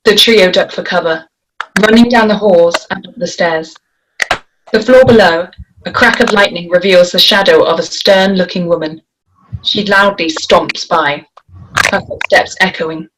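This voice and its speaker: A woman's voice: lowish voice, a little slow. Woman narrating a screenplay, some noise. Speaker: narrator reading stage directions.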